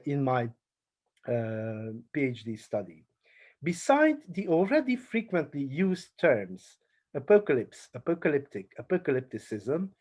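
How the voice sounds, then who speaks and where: A man with a Hungarian accent presenting an academic paper, slow and halting, pausing often on fillers. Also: on the high side, darkish tone. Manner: slow, halting delivery with frequent filler pauses. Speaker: conference speaker.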